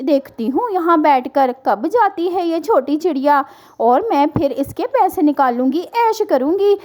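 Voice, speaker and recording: Woman voicing a high-pitched greedy bird. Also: a little mellow. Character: greedy, vain, sly female bird thief. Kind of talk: children's cartoon storytelling.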